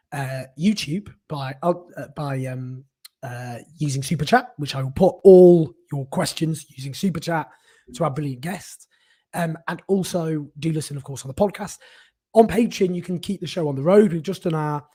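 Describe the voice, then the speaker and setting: Hoarse guy hosting his politics show, pretty high and breathy, punchy and fired up. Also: darkish tone. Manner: emphatic and indignant, with ums and repeated words. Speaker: political commentator and show host. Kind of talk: casual political monologue to camera.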